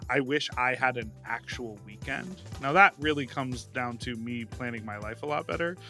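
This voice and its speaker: A man speaking as a podcast co-host in a casual, jokey and animated manner. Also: medium pitch, normal speed, soft background music. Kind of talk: casual comedic banter and host-read ads.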